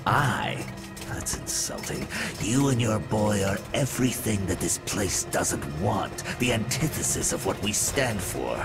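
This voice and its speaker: A deep, gravelly, taunting park bogeyman. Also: big pitch swings, unhurried, over music, full character voice. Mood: menacing. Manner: menacing, theatrical, taunting. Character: sinister, mocking male bogeyman haunting an amusement park. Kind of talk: horror game villain acting.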